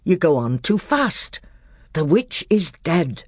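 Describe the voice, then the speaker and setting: A woman's voice: fairly low pitch, sing-song, takes it slowly, darkish tone, husky, no room sound, clean studio sound, voice pushed up, exaggerated voice. A woman reading aloud, voicing a learned old doctor who reasons urgently.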